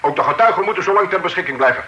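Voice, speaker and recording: Formal presiding magistrate. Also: male voice, high voice, expressive, pushed and bright, highs cut off. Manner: measured, formal questioning. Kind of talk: period radio drama acting.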